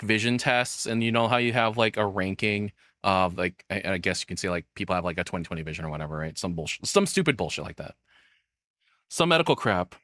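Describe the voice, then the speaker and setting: A man's voice: medium pitch, sharp tone, no echo, clean studio sound. A man giving casual, unscripted reaction commentary as a video host, blunt and profane, exclaiming when worked up.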